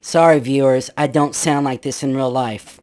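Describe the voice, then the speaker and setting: A man talking through a pitched-up voice changer that makes him sound like a small child, complaining irritably and swearing as he starts telling his story. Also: steady tone, moderate pace, a little mellow, no echo, clean studio sound. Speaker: guest storyteller. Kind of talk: casual chat.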